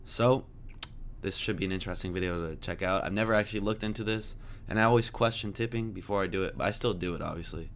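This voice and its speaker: A man's voice: deep voice, fast, slight background noise. An American man hosting a reaction video in a laid-back, chatty way, his voice a bit off from a sore throat. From United States. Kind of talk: casual chat.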